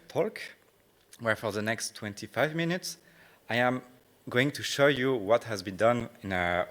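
A man from France with a French accent, giving a technical conference presentation in hesitant, non-native phrasing with frequent pauses. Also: mid-range voice, a bit bright. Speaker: conference speaker.

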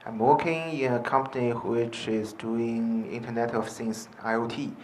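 A man's voice: medium pitch, steady tone, unhurried, a little mellow, slight background noise. Hesitant man from Shanghai. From Shanghai, China. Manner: hesitant, with fillers and restarts. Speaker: participant, engineer. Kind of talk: self-introduction.

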